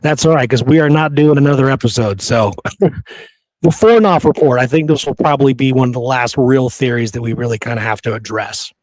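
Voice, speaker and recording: A man hosts a history podcast in an unpolished, conversational style. He is blunt and opinionated, and his medium-pitched voice is recorded very dry, with hardly any room around it. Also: fairly quick, a little mellow, no room sound, low-quality recording. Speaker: podcast host. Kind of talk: casual history discussion.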